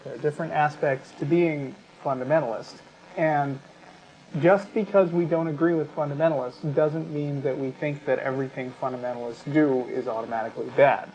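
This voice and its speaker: A man with an American accent speaks as a talk show host, making an argument conversationally and often pausing mid-sentence. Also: fairly high pitch, steady tone, a little mellow, dull audio.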